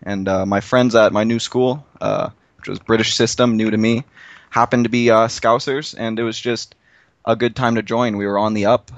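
A man's voice: lowish voice, normal speed, no room sound. Young man, dry lo-fi voice. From Canada. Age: young adult. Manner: relaxed storytelling with fillers. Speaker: podcast guest. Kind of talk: casual podcast chat.

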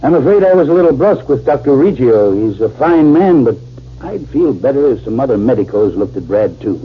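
A man's voice: medium pitch, big pitch swings, a little hiss or hum, close to an everyday voice. Man playing a general, tinny old radio sound. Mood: brisk, troubled. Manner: measured, authoritative, earnest. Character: earnest, concerned male army general. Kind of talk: 1950s radio drama acting.

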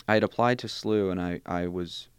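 A man's voice: sits low, fairly even intonation, moderate pace, clear, resonant tone, no room sound. A man in his twenties whose first language is Bosnian, talking thoughtfully in an interview as a graduate student, restarting phrases and adding wry asides. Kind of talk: conversational interview.

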